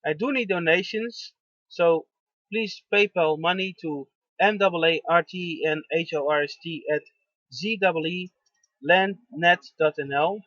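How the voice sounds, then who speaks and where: Soft-spoken man radio host, lo-fi. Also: a little high, a little slow, dry recording. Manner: gentle, affirming, many fillers. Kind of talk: interview and show sign-off. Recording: dull lo-fi dry sound.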